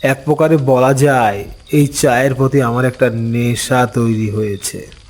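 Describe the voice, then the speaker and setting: A man narrating a scripted first-person story as an uncle, with unhurried, expressive dramatic delivery. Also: medium pitch.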